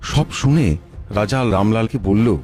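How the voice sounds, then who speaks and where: A man narrating a folk-tale cartoon in a deliberate, expressive storytelling manner. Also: fairly low pitch. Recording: music behind the voice. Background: background music.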